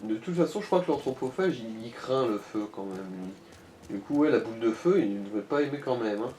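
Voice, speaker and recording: Male gaming co-commentator, soft dark tone, casual crude slang, advisory role, faint background noise. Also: mid-range voice, expressive, a little fast, reverberant.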